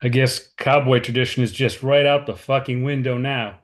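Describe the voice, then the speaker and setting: A man playing a stubborn, crude, deadpan oilfield roughneck in a script read, terse and grumbling. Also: mid-range voice, moderate pace, natural voice.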